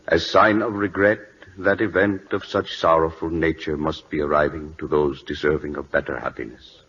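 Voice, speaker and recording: Very deep, solemn court official. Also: male voice, a bit bright, exaggerated voice. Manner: deferential, halting broken English. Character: solemn, loyal Siamese court official, deferential and grave. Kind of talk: old-time radio drama acting. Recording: muffled vintage radio broadcast.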